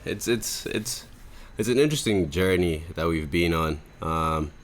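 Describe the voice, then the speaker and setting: Young male podcast host, low-pitched voice, loose slangy rambling delivery. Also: a little slow. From Zimbabwe. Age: 20s. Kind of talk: casual chat.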